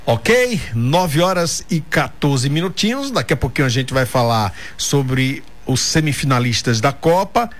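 Guy hosting a radio show, chatty and joking, reads the news off quick. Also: mid-range voice, expressive. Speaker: radio host. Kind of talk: casual radio talk show.

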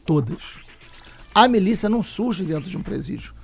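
A man's voice: high-pitched, very animated, moderate pace, gravelly, faint music behind. A middle-aged man with a Rio de Janeiro accent, talking as an author and politician guest in an earnest, insistent way that repeats key phrases. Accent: Rio de Janeiro Portuguese. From Brazil, Rio de Janeiro state. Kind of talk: interview talk.

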